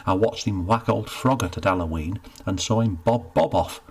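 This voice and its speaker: A man's voice: on the low side, moderate pace, a little mellow, slightly rough. A man voicing an eager young rustic who wants to be hangman, earnest and broad in speech. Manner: earnest, broad dialect, pleading.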